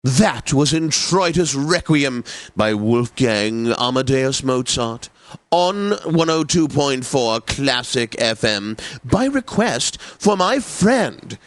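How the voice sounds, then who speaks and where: Pompous, slow-talking classical radio DJ. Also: male voice, mid-range voice, a bit bright, no echo. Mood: playfully theatrical. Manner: pompous, theatrical, unctuous, precisely enunciated. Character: pompous, effete male classical radio DJ, theatrically smug. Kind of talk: scripted classical radio announcing, video game.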